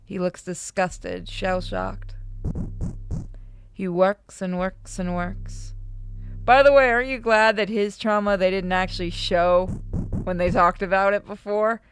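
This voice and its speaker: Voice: mid-range voice, animated, unhurried, fairly bright tone, soft background music. A streamer narrating a horror visual novel aloud and breaking off for casual asides.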